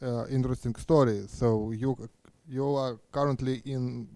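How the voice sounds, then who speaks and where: A middle-aged man hosting an interview, halting and often stumbling over his words. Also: mid-range voice, fairly even intonation, mellow, no echo. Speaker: interviewer and host. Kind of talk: live interview chat. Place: indoor studio, seated on a sofa. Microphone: handheld microphone.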